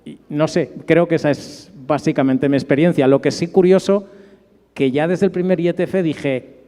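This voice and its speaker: Mellow Spanish man in a reverberant hall. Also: a little high, steady tone, moderate pace. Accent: Spain. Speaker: conference panelist. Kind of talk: informal personal recollection on a panel.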